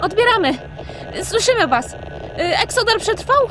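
A woman playing a tense, urgent female technician in a fantasy audio drama, strained and excitable. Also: extremely high pitch, unhurried, over music, exaggerated voice, low-quality recording. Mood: urgent, shouting.

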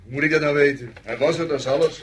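A brash soldier in a war radio play, acted by a man. His voice is high for a man and his manner blunt and defensive. The recording has some background noise. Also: steady tone, fast talker, natural voice. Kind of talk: radio drama acting. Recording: noisy radio drama recording.

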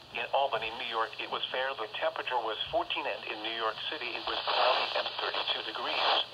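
A man's synthetic voice reading automated weather reports through a small radio speaker, flat and even. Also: medium pitch, background noise. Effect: played through a small radio speaker. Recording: radio broadcast played from a speaker.

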